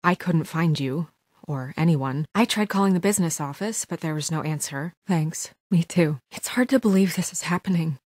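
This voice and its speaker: A woman playing a composed, determined, guarded young fairy-tale heroine in a video game, speaking in an earnest, firm, measured way. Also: sits low, darkish tone, dry recording, studio quality. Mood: somber, uneasy. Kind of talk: naturalistic video game voice acting.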